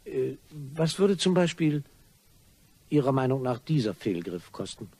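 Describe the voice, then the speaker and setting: Sly, husky gentleman with swooping intonation. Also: mid-range voice, sing-song, a little slow, exaggerated voice. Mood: mock-innocent menace. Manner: probing, mock-courteous, insinuating. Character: sly, insinuating gentleman blackmailer, smoothly polite. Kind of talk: German crime radio play acting.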